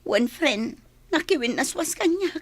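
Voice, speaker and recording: A woman's voice: high voice, animated, a little slow, dry recording. A woman with a Filipino accent acting a hurt, nagging, thrifty girlfriend in an emotional, scolding radio drama style. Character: Bianca, hurt, nagging, thrifty girlfriend confronting her boyfriend.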